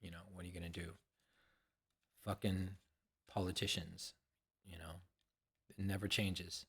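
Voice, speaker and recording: A man's voice: low voice, little pitch movement, measured pace, a bit bright, husky. A man in his sixties talks to viewers in a loose, rambling monologue, repeating phrases and swearing casually. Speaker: vlogger.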